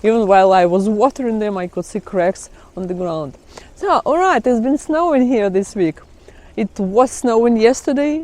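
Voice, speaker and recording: A woman's voice: a little high, dark tone. A middle-aged woman with a Russian accent, chatting casually in a vlog, often laughing and phrasing things like a non-native speaker. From Kazakhstan. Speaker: vlogger. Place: outdoors by trees in melting ice.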